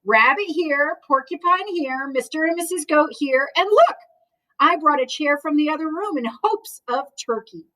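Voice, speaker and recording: A woman voicing a gentle, hospitable moose wife in a children's storybook. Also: high voice, lively intonation, moderate pace, far from the mic, voice pushed up, low-quality recording. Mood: happy, welcoming. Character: wistful, gracious female moose wife, storybook animal.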